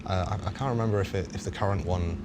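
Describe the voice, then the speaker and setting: Deep-voiced man, noisy livestream recording. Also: fast. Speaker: game developer on a panel. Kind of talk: casual panel talk.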